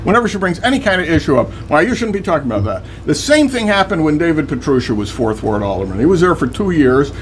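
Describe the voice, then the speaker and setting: An older man hosting a local radio talk show, a little hoarse, stammering with dry wry humor. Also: a little high, big pitch swings, quick pace, few pauses, slight background noise.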